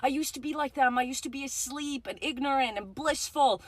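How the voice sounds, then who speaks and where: A woman's voice: high-pitched, fairly quick, no room sound. A young woman ranting in a casual vlog, chatty and filled with "like" and asides. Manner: chatty, animated, lots of "like" and laughs.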